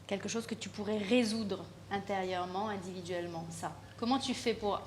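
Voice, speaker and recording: A woman with a mid-range voice hosts a live conference panel. She moves briskly between guests and poses direct questions. A faint hiss sits under the recording. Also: mid-range voice, fairly even intonation. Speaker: host and moderator.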